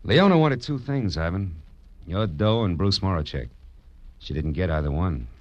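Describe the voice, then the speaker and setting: An American actor playing a tough, sardonic private detective in a vintage noir radio drama, with clipped, punchy delivery. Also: male voice, deep voice, animated, normal speed, a little mellow, no room sound. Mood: weary, matter-of-fact. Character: hard-boiled wisecracking male private detective narrating his case. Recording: dull vintage radio recording with music.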